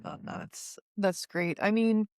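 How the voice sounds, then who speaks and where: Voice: medium pitch, steady tone, darkish tone, no echo. Casual interviewer, fumbling for phrasing, dry close recording. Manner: halting, searching for words, many restarts.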